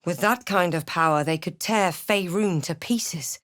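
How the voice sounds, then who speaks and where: A woman playing a wry, watchful adventurer in a fantasy video game, delivering measured, understated dramatic narration and short remarks. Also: fairly low pitch, fairly bright tone, no echo, clean studio sound. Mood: grave, wary. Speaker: player-character voice actor.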